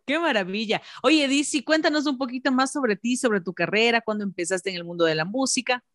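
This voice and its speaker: Woman, radio host, upbeat and enthusiastic, fairly high voice, dry close studio sound. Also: a little fast, fairly bright tone, dry recording. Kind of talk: casual radio interview. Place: radio studio with branded backdrop. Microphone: studio microphone on stand.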